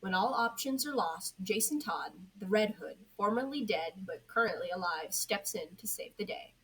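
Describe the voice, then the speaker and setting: A woman's voice: medium pitch, expressive, moderate pace, a crowd in the background. A woman reading scripted fiction aloud as an amateur audio story narrator. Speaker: podfic narrator. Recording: noisy amateur home recording.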